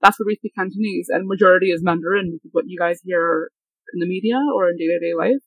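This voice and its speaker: Young Hong Kong woman, interview guest, rapid chatty talk over a dry call line. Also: fairly low pitch, steady tone, no room sound, phone-quality sound. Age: mid-twenties. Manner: quick, chatty, lots of fillers and self-deprecating laughs. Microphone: video call.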